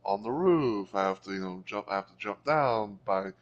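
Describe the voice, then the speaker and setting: A man co-hosting a podcast, talking casually in a hesitant, halting way with fragmented phrasing. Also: fairly low pitch, lively intonation. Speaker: podcast co-host. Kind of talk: casual chat, reminiscing. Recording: slightly dull, lo-fi microphone.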